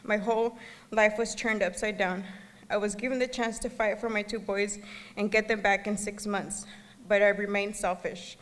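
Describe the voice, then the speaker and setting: A woman's voice: medium pitch, steady tone. Woman giving testimony in a reverberant hall. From El Salvador. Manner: earnest, halting, occasional restarts. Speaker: gala speaker. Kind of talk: personal testimony speech. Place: gala banquet hall. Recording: long reverb of a large hall.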